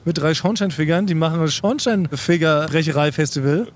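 A man with a slightly raised, light voice tells tales with mock-serious exaggeration in relaxed podcast banter. A faint hiss sits under his voice. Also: on the high side, a little fast. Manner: playful, tells stories with jokey exaggeration. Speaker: podcast host. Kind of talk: casual chat.

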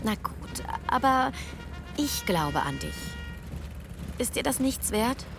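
A woman's voice: a little high, sing-song, slow pace, music in the background, exaggerated voice. An actress playing a supportive but no-nonsense woman confidante in an audio drama. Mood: encouraging. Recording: noticeable noise around the voice.

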